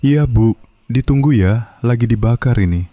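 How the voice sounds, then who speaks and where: A man voicing a patient, poor middle-aged satay seller, meek and soft-spoken. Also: medium pitch, normal speed, no room sound, lightly acted.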